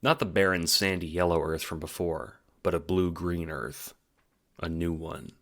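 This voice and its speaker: A man's voice: deep voice, steady tone, measured pace, fairly bright tone. A man reading scripted story narration for an audio drama, as its narrator.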